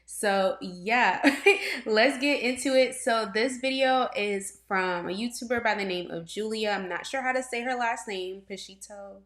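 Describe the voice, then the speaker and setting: A woman's voice: medium pitch, a little slow, a bit bright. A young woman from Trinidad and Tobago chats her way through a reaction video, circling back with fillers and restarts. Her voice sits mid-range for a woman. The room around her adds a noticeable echo. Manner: chatty, rambling, many fillers and restarts, frequent laughs. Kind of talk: casual reaction commentary. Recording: roomy echo.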